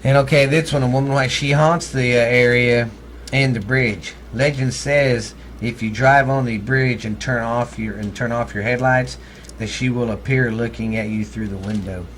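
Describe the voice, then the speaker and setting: A man's voice: mid-range voice, steady tone, normal speed, a bit bright. Blunt Texan man, noisy recording. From Texas, United States. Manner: blunt, profane, folksy. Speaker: podcast co-host. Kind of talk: casual ghost-story chat.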